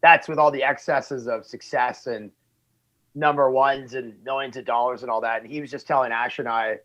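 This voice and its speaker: Band guy thinking out loud, stops and restarts, bit muffled sound. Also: medium pitch, steady tone. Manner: thoughtful, halting with restarts. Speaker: band member. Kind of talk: interview conversation.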